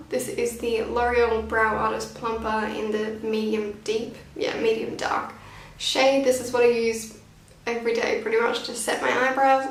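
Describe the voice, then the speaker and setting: A woman's voice: a little high, gravelly, a little hiss or hum. A woman in her twenties chatting casually and informally to camera as she reviews beauty products, with a self-deprecating manner. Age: 20s. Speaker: beauty vlogger. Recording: roomy echo, distant from the mic.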